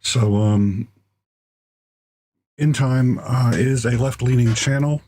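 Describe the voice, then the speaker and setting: A man hosting a live talk stream while losing his voice, speaking haltingly with many fillers in an echoey home room. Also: mid-range voice, warm tone, a little hiss or hum. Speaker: show host. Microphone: close desk mic. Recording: echoey room, mic held close.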